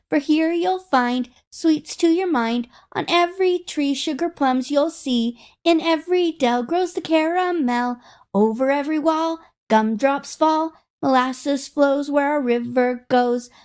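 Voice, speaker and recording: A woman's voice: high voice, slow pace, darkish tone, voice pushed up. A woman voicing a sweet little candy bird in lilting, sing-song storybook verse. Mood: sweet, inviting.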